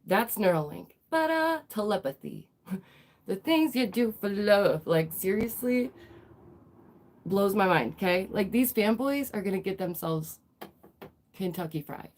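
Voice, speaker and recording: A woman's voice: medium pitch, lively intonation, measured pace, slight background noise. A woman with a nasal, congested voice, still getting over a cold, hosts a live news commentary stream. She leans hard on emphasis, repeats lines for effect and keeps throwing rhetorical questions at her viewers. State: stuffy, recovering from a cold. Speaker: livestream host.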